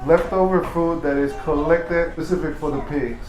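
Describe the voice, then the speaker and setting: A man who grew up in Tonga, quizzing family members in a relaxed way. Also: a little high, roomy sound. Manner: relaxed quizmaster, quick corrections. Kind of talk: casual family game.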